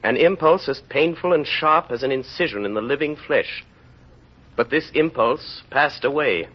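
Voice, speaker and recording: An actor playing a solemn literary narrator of a tragic tale, grave and oratorical, in vintage radio drama style. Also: male voice, medium pitch, normal speed, noisy background, natural voice. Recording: muffled vintage radio recording, music behind.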